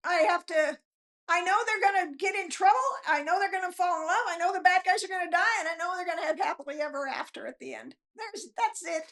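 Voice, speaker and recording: Older lady, really high voice, rambly and self-deprecating, chatting as a guest author. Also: fast, a bit bright. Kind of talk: casual interview chat.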